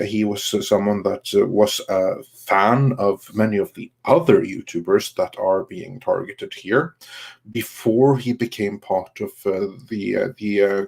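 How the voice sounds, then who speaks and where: Slow, halting low-voiced man. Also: gravelly, darkish tone, husky. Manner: halting, long-winded explaining. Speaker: panelist. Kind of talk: casual panel commentary.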